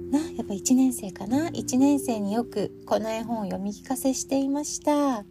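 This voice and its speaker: Female podcast host, middle-aged, high pitch, conversational and courteous, addressing listeners directly over a clear music bed. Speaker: podcast host introducing picture books. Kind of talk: chatty solo podcast talk.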